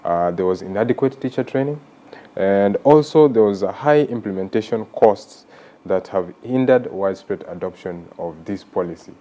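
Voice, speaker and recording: Very deep, mellow-voiced radio host man. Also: highs cut off. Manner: steady backchannel listener, asks long measured questions. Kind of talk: radio interview conversation.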